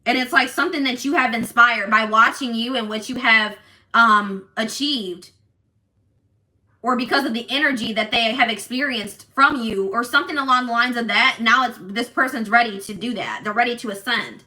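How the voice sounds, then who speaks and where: A woman doing a casual tarot reading for her viewers, talking in an assertive, loud way with lots of restarts and "like". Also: a little high, moderate pace, low-quality recording. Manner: animated, many restarts and fillers. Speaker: tarot reader.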